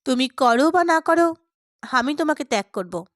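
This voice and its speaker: A woman's voice: sits high, lively intonation, normal speed, dry recording, clean studio sound. A woman voicing a passionate, stubborn young man who speaks earnestly and emphatically to his dear friend in an audiobook. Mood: impassioned, hurt.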